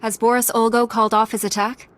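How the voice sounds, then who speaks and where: An actress playing a calm, honorable female knight in a video game, composed and formal. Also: a little high, quick pace, close to an everyday voice.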